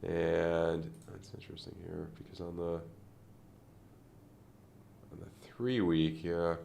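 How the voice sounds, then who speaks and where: Low gravelly man, nasal and congested. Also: a little mellow. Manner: rambling, thinking aloud with many fillers. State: congested from a sinus infection. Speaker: crypto trading streamer. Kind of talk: casual live-stream chart commentary.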